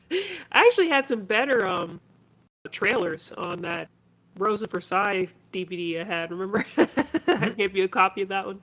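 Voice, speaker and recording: Slow-talking female podcast co-host. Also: mid-range voice, fairly bright tone. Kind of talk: casual podcast chat.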